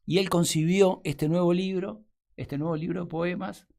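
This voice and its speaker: A man, a radio guest explaining in a measured way and often trailing off into fillers. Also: sits high, fairly even intonation, gravelly, darkish tone. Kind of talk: radio conversation.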